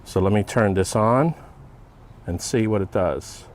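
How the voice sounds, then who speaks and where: A man's voice: fairly low pitch, a little slow, darkish tone. A man giving a casual hands-on product demonstration outdoors, thinking aloud in short step-by-step remarks. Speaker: product reviewer. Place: outdoor backyard.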